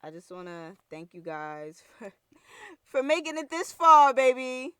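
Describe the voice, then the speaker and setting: A young woman from New York with a deep, rough voice and a New York accent, hosting her own show with blunt, slangy, heated talk. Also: sing-song, measured pace, a bit bright, no echo, studio quality. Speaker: podcast host. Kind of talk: casual solo commentary.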